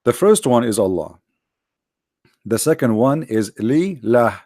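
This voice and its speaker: A man whose first language is Arabic, lecturing combatively over on-screen text, with many halting fillers and slow, drawn-out stress on key words. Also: medium pitch, sing-song, mellow, no echo, studio quality. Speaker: religious commentator.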